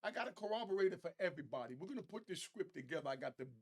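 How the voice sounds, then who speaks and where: A man with a slightly high voice and noticeable vocal fry hosts a casual commentary show. He speaks in conversational street slang and gives opinionated takes. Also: expressive, rapid-fire. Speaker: host of an online commentary show.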